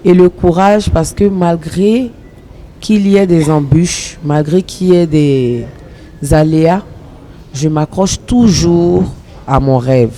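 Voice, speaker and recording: Congolese actress being interviewed, earnest and measured, warm mellow voice. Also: female voice, on the low side, a little hiss or hum. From Republic of the Congo. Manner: earnest, measured, speaks about ambition with conviction. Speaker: actress and comedian. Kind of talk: interview answers.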